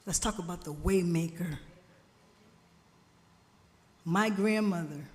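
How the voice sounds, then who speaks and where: A woman preaching a sermon at a church service, slow and emphatic, drawing responses from the congregation, her family from Jamaica. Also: lowish voice, darkish tone. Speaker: guest speaker at a church service. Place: church sanctuary. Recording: church hall reverb.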